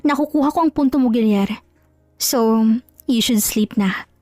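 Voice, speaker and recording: A woman's voice: fairly high pitch, measured pace, darkish tone, dry recording, faint music behind, natural voice. A woman playing a scheming, jealous wife in an audio drama, sarcastic and coolly composed as she feigns innocence.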